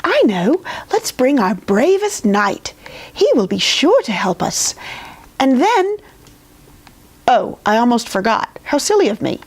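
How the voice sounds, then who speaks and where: A woman playing a possessive storybook queen who gushes in a high, breathy voice. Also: very animated, measured pace, full character voice. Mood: bright.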